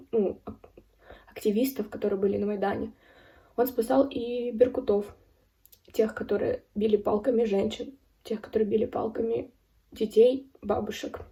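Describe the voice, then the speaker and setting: A woman in her early twenties from Ukraine giving a serious personal account as a video blogger, speaking in halting, deliberate phrases with pauses. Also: fairly high pitch, warm tone, far from the mic. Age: early 20s. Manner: serious, solemn. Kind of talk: personal account spoken to camera.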